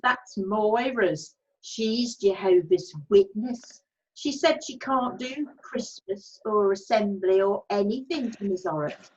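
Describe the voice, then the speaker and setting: A woman's voice: mid-range voice, lively intonation, slow pace, darkish tone, highs cut off, a little hiss or hum. A woman voicing a sweet little girl in a school nativity, in an amateur play reading. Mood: childlike.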